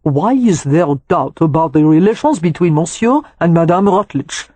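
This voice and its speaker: A woman's voice: fairly low pitch, lively intonation, moderate pace, mellow, no room sound. Woman doing a sly French chef. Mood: teasing. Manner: superior, teasing.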